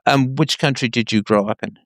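A man's voice: mid-range voice, fairly quick, no echo, clean studio sound. A man hosting a conversation, questioning his guest closely and pressing with logical follow-ups. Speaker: host interviewing a caller. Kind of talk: probing conversational interview.

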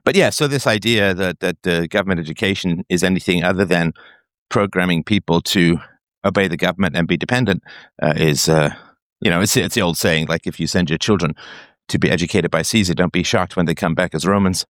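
A man with a lowish voice holds forth as host of a call-in talk show, emphatic and opinionated. His pitch leaps up whenever he gets animated. The sound is dry and close to the mic. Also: fairly quick, dry recording. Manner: emphatic, opinionated, pitch swings up when excited. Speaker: podcast host. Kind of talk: casual philosophical call-in chat.

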